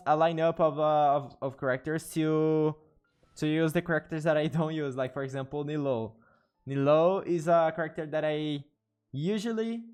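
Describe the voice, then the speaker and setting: Halting male streamer with a Portuguese accent. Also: on the high side. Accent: Portuguese-speaking. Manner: halting, repetitive phrasing. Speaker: game streamer. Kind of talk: casual live stream chat.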